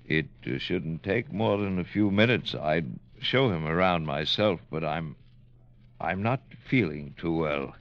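A man's voice: deep voice, no room sound. An actor playing a worn-out, uneasy old ship captain in a radio drama, hesitant and weary in his speech. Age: older. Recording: dull, band-limited vintage radio broadcast.

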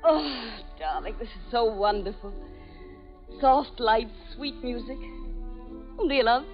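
A jealous nightclub singer. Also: female voice, a little high, lively intonation, unhurried. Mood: flirtatious. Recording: dull old radio broadcast, music behind.

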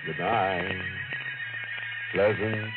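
An actor playing a sardonic, ghoulish horror host, mocking and drawn-out, in tongue-in-cheek 1940s radio style. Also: male voice, sits low, fairly even intonation, narrow, tinny audio. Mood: gleefully macabre. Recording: old band-limited radio with loud music.

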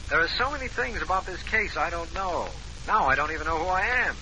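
A confused, sarcastic official speaks through a phone filter in an old radio mystery. His fairly high male voice swings widely in pitch, over noisy sound. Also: expressive, fast talker, pushed and bright, full character voice. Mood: bewildered, sarcastic. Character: bewildered, drily sarcastic male district attorney on telephone. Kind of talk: 1940s radio drama acting.

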